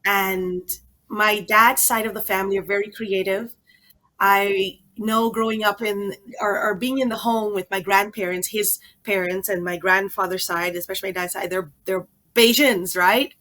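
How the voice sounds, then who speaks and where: A woman's voice: mid-range voice, steady tone, moderate pace, pushed and bright. A woman from Guyana, a children's author, telling her life story in a chatty, conversational way. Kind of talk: conversational storytelling interview answers.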